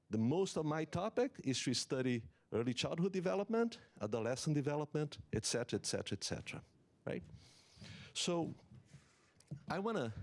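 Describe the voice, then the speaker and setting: Man, English, conference keynote, explanatory, halting restarts. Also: on the high side, darkish tone. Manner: earnest, explanatory, with many fillers and restarts. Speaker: economist giving a summit talk.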